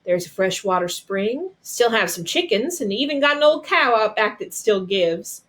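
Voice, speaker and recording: A woman's voice: mid-range voice, expressive, moderate pace, a bit bright, natural voice. A reader puts on a middle-aged mother in a storybook, a loving and devout woman. She talks tenderly, in homespun folksy dialect. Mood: relieved. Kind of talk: storybook read-aloud character.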